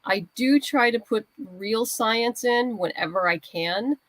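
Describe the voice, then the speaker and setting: A woman's voice: on the high side, takes it slowly, dry recording. A woman speaking as a writer guest in a casual interview conversation.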